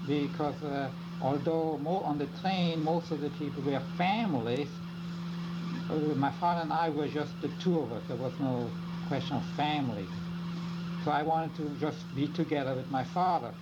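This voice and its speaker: An older man whose first language is German, slowly and carefully recounting his memories in an oral history interview. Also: a little high. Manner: slow, halting, careful recounting. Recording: lo-fi tape with loud hum.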